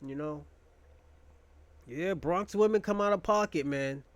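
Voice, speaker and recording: A young man with a notably high voice holds forth in a loose, rambling monologue. He jokes crudely and swears freely. The sound is dry and close, with no room echo. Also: a little slow. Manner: profane, rambling, joking. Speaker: podcast host. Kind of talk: casual monologue.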